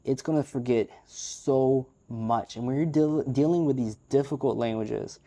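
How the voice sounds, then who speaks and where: Male vlogger, native English speaker, mid pitch, dark mellow timbre, candid rambling delivery. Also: unhurried. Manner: frank, opinionated, rambling with fillers. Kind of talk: unscripted casual monologue.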